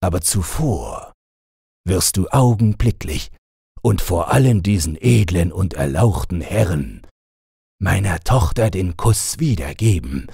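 A stern old fairy-tale king, put on by a male narrator, gruff and commanding. The voice is deep and very husky, recorded close and dry. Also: expressive, measured pace, warm tone, dry recording, exaggerated voice. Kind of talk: fairy-tale audiobook character voice.

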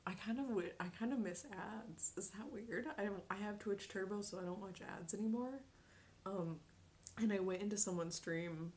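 Giggly young woman streaming from her bedroom, chatty, goes half whispery sometimes, faint rumble behind. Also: normal speed. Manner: chatty and giggly, drifts into soft near-whispers. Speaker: Twitch streamer. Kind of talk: casual live-stream chat, reading chat aloud. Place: small bedroom at home.

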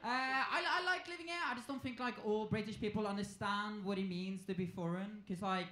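A man's voice: sits high, fairly quick, bright, forceful tone. A young man with a Norwegian accent performs stand-up comedy into a handheld stage mic. He talks conversationally and playfully acts out exchanges. The club sound is roomy and echoing, with a little background noise. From Norway. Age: 20s. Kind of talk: live stand-up comedy routine. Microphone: handheld stage microphone.

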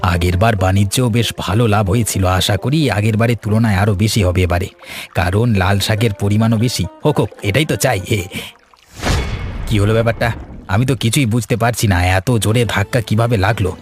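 Cartoon casting: male actor as travelling sea merchant, dramatic self-talker, excitable. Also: fairly low pitch, fairly quick, faint music behind, natural voice. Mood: hopeful. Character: seafaring male merchant, hopeful and easily alarmed. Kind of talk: cartoon monologue, thinking aloud.

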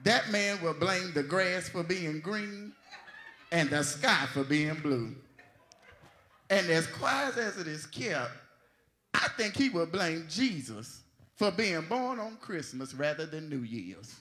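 A man's voice: a little high, lively intonation, takes it slowly. A man narrating a church stage play like a sermon, in a dramatic, declamatory way. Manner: declamatory, dramatic, swelling to shouts. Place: large church hall, at a lectern. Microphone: handheld microphone. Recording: live church hall recording with music.

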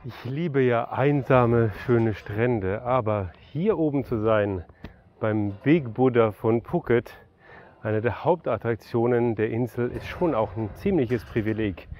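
A man's voice: mid-range voice, a little slow, muffled recording. A man in his forties presenting a travel vlog, talking and narrating as he shows places. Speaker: travel vlogger.

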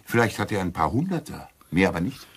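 Low raspy-ish guy as a nitpicky dry sceptic in a detective radio play. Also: big pitch swings, fast, full character voice. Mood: matter-of-fact. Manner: pedantic, dryly sarcastic. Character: pedantic, skeptical, nagging amateur sleuth, a novice. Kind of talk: German crime radio drama dialogue.

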